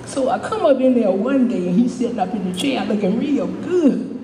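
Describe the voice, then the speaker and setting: A woman's voice: on the high side, expressive, normal speed, warm tone. A woman playing a chatty, good-humored older homeless widow, telling her story in a folksy, rambling stage monologue. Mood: reflective, sorrowful. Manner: folksy, rambling, repeats "you know", chuckling. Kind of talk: dramatic monologue, storytelling to a live audience. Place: indoor stage performance, distant microphone. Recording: echoey hall from far off, faint noise.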